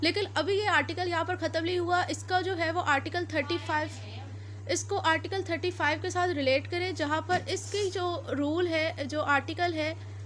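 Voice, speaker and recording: A woman's voice: very high voice, steady tone, normal speed, a bit bright, slight background noise. A woman with a Pakistani accent giving an explanatory law lecture, repeating and rephrasing her points as she goes. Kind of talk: explanatory lecture mixing Urdu and English.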